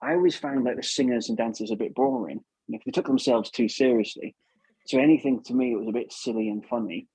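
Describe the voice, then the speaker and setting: A man's voice: medium pitch, steady tone, fast talker, dark tone, slightly rough, dry recording, low-quality recording. A man with an English accent talking casually and enthusiastically as an interview guest, rambling and often repeating words. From England. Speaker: puppeteer, podcast guest.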